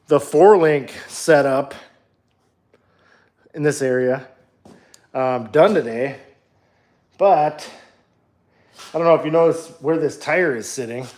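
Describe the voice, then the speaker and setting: A man's voice: fairly high pitch, slow pace, pauses often, darkish tone. A man in his thirties from Minnesota with a Minnesotan accent, chatting casually on a live stream while working in his home garage, rambling and self-deprecating, talking into a phone. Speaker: livestreaming truck builder. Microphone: phone held at arm's length, later set down away.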